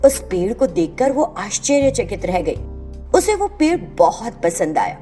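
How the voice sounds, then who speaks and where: A woman's voice: medium pitch, big pitch swings, a little slow, mellow, soft background music. A woman narrating a scripted moral tale as the storyteller.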